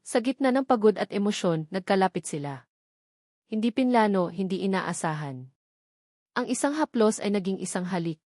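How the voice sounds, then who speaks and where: A woman's voice: mid-range voice, a little mellow, dry recording, studio quality. A woman reading a scripted romance story as a dramatic narrator.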